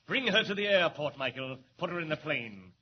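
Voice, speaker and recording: Cold, formal male villain for a 1940s radio serial, slightly high voice, muffled noisy recording. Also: lively intonation, husky. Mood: satisfied, threatening. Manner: formal, deliberate, threatening. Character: menacing male criminal mastermind, cold and commanding. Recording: noisy, band-limited old radio recording.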